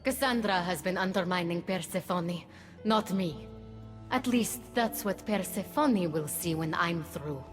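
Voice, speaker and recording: An actress voicing a sly, sardonic, scheming goddess in a mythic video game. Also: female voice, on the low side, measured pace, natural voice. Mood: smug. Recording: long reverb, faint music behind.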